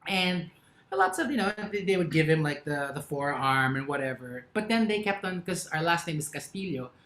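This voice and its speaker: A man's voice: a little high, big pitch swings, moderate pace, fairly bright tone, reverberant. A man, co-hosting a podcast and telling a long story in casual chat, laughing often. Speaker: podcast co-host.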